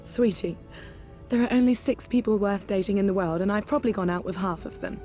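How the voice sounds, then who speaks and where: Game femme spy, female actor: socialite, flirty, arch, confident, medium pitch, music bed. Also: brisk, dark tone, natural voice. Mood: gossipy, breezy. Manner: playful, arch, self-assured. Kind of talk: video game cutscene acting. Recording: music behind the dialogue.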